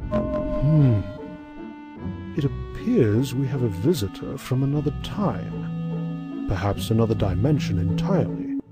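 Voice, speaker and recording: A man voicing a wise, patient old mammoth mentor with a solemn, measured delivery in an animated comic dub. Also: mid-range voice. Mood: thoughtful. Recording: music behind the voice.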